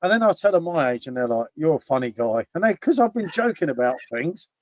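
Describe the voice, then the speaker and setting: Man, fifties, raised pitch, dry sound, casual matey chat, video-call guest. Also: big pitch swings, quick pace, no room sound. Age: 50s. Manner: informal, matey. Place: living room, indoors. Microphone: video call, sitting back from the camera.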